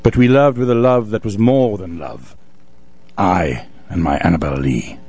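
A man reciting classical poetry theatrically as a wistful, grieving lover, with dramatic, measured storytelling. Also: sits low, a little mellow. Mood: tender, wistful. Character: wistful, grieving male lover narrating a gothic poem.

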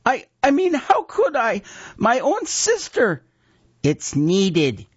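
A man voicing a timid, frightened young male apprentice who squeaks and stammers, on a dull lo-fi recording. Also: wildly swooping pitch, slow pace, slightly rough, no room sound, full character voice.

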